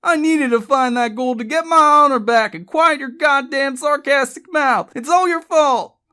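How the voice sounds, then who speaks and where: A woman voicing a stern, vengeful older uncle in an audiobook, snapping loud commands in a rage. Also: mid-range voice, normal speed, natural voice. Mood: screaming rage.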